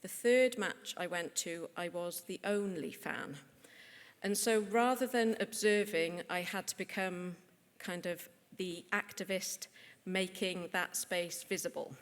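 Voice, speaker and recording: A woman researcher giving a conference talk, lively, with frequent "um" and "kind of". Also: fairly low pitch, a little slow. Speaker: researcher presenting. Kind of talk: conference presentation. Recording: echoey hall.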